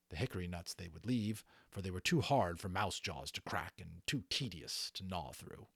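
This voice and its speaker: A man narrating a children's book as an amateur reader, in a dramatic, deliberate storytelling style. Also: on the low side, slightly rough, no room sound, studio quality.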